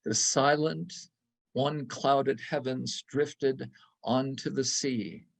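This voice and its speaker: A man giving a dramatic literary reading of a nostalgic story, savouring each descriptive phrase in a deliberate manner. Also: medium pitch, fairly even intonation, gravelly. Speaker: reader in a readers theatre.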